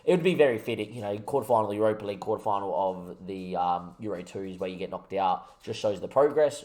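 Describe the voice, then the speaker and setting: A young man commentating his football management game on a live stream, chatting to viewers and rallying with excitable talk. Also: fairly low pitch. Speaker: gaming streamer.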